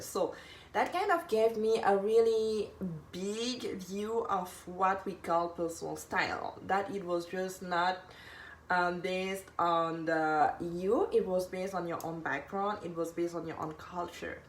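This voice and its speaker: A woman from there, giving an earnest, informal live talk as a personal stylist, rephrasing and repeating for emphasis. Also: on the low side. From Cameroon. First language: French. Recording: echoey room with background noise.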